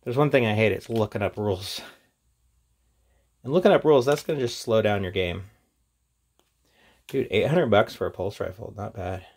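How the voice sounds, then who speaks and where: A man's voice: on the low side, moderate pace, long pauses, a little mellow, husky. A man giving a casual, off-the-cuff product review in an informal, chatty way as he presents. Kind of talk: casual unboxing and review chat.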